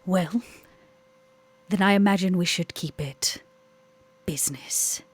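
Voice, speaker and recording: Woman playing a cool, lowish-voiced vampire businesswoman. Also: slow, breathy edge. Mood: dismissive. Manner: formal, curt, pointed. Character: cool, guarded female vampire nightclub businesswoman. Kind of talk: tabletop roleplay character voice. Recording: music playing behind the voice.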